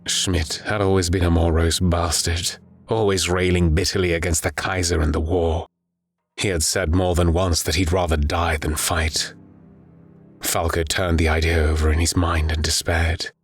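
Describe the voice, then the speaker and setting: Very deep, husky male storyteller. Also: flat delivery, moderate pace, faint music behind. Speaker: audiobook narrator. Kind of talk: dramatic scripted horror war story narration.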